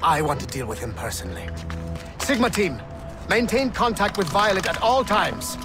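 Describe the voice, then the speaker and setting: Firm, higher-pitched squad leader. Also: male voice, very animated, normal speed, music in the background. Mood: determined. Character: resolute male strike-team leader giving orders. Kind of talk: scripted game dialogue.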